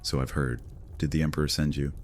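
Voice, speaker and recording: A man's voice: a bass voice, level tone, fast talker, darkish tone, faint music behind. An actor playing a menacing, mocking male vampire lord who speaks with a sneering, threatening manner. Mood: defiant. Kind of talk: audio drama roleplay.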